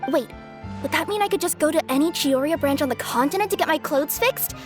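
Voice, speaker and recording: Game role: easygoing, sweet young woman courier actress, extremely high voice, modest and a little unsure of herself. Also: brisk, natural voice. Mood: friendly, sheepish. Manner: hesitant, modest, gentle. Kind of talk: video game voice acting. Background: background music.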